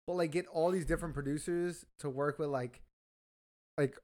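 A man's voice: on the high side, fairly even intonation, normal speed, a little mellow. A man co-hosting a podcast, chatting casually about an album, rambling with lots of fillers and swearing. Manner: rambling, profane, many fillers. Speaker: podcast co-host. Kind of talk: casual music review chat.

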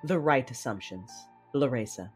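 A woman's voice: extremely low pitch, animated, normal speed, darkish tone, soft background music. A woman playing a guarded, imperious Sith mother who narrates her own story in an audio drama. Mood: brooding, controlled. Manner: controlled, imperious, clipped in dialogue.

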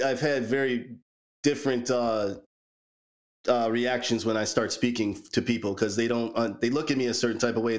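A man in his forties co-hosting a podcast, telling personal stories in a relaxed, rambling way with frequent repeated words. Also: medium pitch. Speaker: podcast co-host. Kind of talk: casual chat, personal storytelling.

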